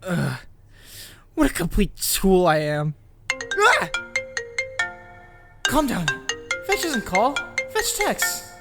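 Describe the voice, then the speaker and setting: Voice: mid-range voice, huge pitch swings, very slow, pauses often, over loud music, full character voice. An actor playing an anxious, guilt-ridden teenage boy who rambles and frets in a dramatic comic dub. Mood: anxious, self-doubting. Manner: rambling, fretful self-questioning with muttered asides.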